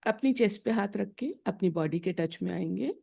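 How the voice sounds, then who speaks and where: A woman with an Indian accent leading a guided meditation in a deliberate, instructional way, pausing between short phrases. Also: mid-range voice, lively intonation, vocal fry, dark tone.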